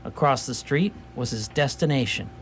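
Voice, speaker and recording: A man playing a wry storyteller, narrating a scripted radio theatre story in a measured way. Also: medium pitch, a little hiss or hum, natural voice. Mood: wry narration.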